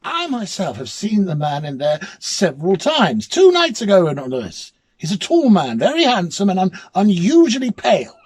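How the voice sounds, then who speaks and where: Guy acting out a worked-up hotel lodger, high loud voice, stammering, insisting, dramatic story style. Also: huge pitch swings, normal speed, few pauses, slightly rough, heavily acted. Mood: irritated insistence. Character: agitated, insistent male hotel lodger, irritable and unnerved.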